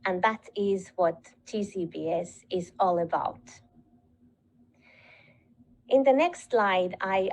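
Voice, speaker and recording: A woman with a Finnish accent, a school head giving an earnest presentation, pausing often and restarting phrases as she speaks. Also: mid-range voice, rough recording. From Finland. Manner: earnest and measured, with frequent hesitations and restarts. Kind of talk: presentation talk with slides.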